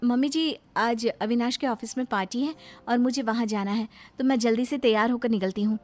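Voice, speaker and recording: A woman acting as a young daughter-in-law from a cartoon family tale, in a high voice. She is deferential and eager to please, pleading gently and apologizing as she goes. Music plays behind her. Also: brisk, natural voice. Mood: hesitant. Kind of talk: Hindi animated moral story acting.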